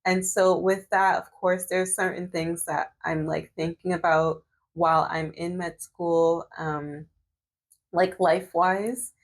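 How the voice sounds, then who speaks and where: A woman's voice: fairly low pitch, little pitch movement, takes it slowly. A woman in her thirties vlogging while doing her hair, chatting casually with lots of fillers and restarts. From Canada. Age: 30s. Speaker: medical student and vlogger.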